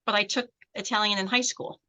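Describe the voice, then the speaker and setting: Middle-aged american woman, law prof, bright crisp voice, lots of ums. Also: medium pitch, fairly even intonation, brisk. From United States. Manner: hesitant, many fillers and restarts. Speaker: law professor. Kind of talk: casual reminiscing conversation.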